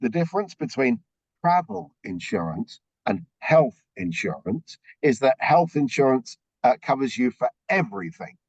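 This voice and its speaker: A man with a slightly croaky voice runs a briefing over a video call, walking his listeners through the steps and checking in with a steady "okay". His voice sits in a middle register. The sound is dry and a little dull. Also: mid-range voice, moderate pace, no echo. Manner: explains step by step, checks in with frequent okays. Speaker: orientation presenter.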